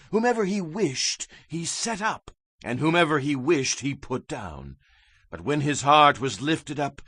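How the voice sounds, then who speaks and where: An actor playing a solemn, fearless prophet who rebukes with grave, emphatic declamation in a scripture drama. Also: male voice, mid-range voice, fairly bright tone, slightly rough, no room sound, heavily acted.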